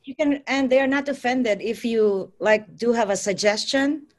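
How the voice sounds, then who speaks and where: A woman, a nurse talking earnestly on a panel, with halting restarts. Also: on the high side. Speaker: nurse guest. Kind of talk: casual panel talk.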